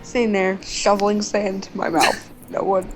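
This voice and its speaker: A woman's voice: mid-range voice, steady tone, moderate pace, music in the background. A young woman chatting casually and telling rambling stories, with a giggly manner. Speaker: video co-host. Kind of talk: casual storytelling chat over a game.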